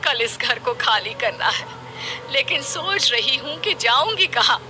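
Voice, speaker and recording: A woman's voice: sits high, animated, measured pace, pushed and bright, a little hiss or hum, exaggerated voice. A woman voicing a worried, thrifty middle-aged mother in a moral story cartoon, homely and plaintive in manner. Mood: anxious. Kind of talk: animated moral story dialogue.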